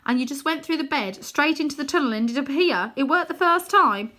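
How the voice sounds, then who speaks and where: A woman's voice: sits high, lively intonation, a little fast, bright, forceful tone, voice pushed up. A woman voicing an earnest young boy hero in a storybook, urgent and pleading. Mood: incredulous.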